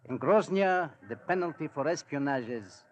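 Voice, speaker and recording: An actor as a grave, suave police minister. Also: male voice, fairly high pitch, lively intonation, lightly acted. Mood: ominous. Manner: formal, measured, ominous. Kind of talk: 1940s radio acting. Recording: noisy old radio recording.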